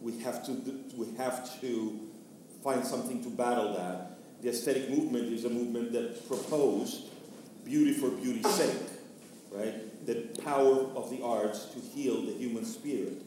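Mellow man in a very echoey room. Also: mid-range voice, moderate pace. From Venezuela. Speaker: panelist, theatre artist discussing a play. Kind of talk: thoughtful discussion. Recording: distant mic, echoey room, faint noise.

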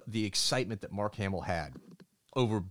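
A middle-aged man co-hosting a casual podcast, talking in an animated, opinionated way and acting out quotes in other voices. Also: fairly low pitch, brisk. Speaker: podcast co-host.

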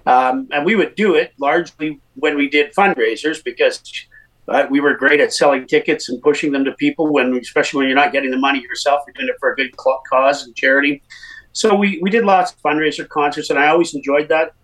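A man's voice: fairly high pitch, fairly even intonation, fairly quick, fairly bright tone, poor audio. A middle-aged man from Winnipeg with a Canadian accent, a musician guest telling his story in a chatty way, with many fillers. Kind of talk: casual interview.

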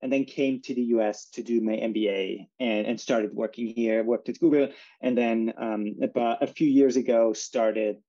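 A man from Austria talks as a guest, explaining his company in a relaxed way with many fillers. His voice is deeply mellow and dark in tone. The recording sounds muffled and lo-fi. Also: normal speed, few pauses. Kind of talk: casual interview talk.

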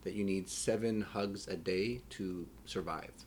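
Guy host, lowish voice, laid back casual chat, slight background noise. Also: a little slow, a little mellow. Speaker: podcast host.

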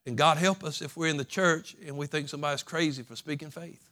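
A man preaching a sermon as pastor, folksy and repetitive, building from soft talk to loud fervent preaching. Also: on the high side, steady tone, quick pace. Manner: folksy, repeats phrases.

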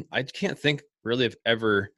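A man's voice: medium pitch, unhurried, slightly rough, no room sound, studio quality. A man from Ohio who runs a small business, talking casually and rambling with lots of restarts and fillers. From Ohio, USA. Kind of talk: casual conversation.

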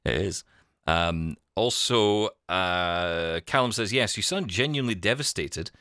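Male podcast host, deep-ish and crisp-edged, close dry sound, joking, informal banter. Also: unhurried, sharp tone, no echo. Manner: jokey, self-deprecating. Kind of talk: casual bantering chat.

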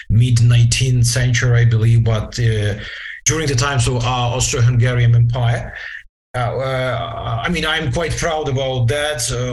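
A man in his late forties with a Ukrainian accent giving reflective interview answers, halting and searching for words in formal, wordy phrases. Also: medium pitch, poor audio. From western Ukraine, Transcarpathia. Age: late 40s. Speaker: interview guest, lawyer.

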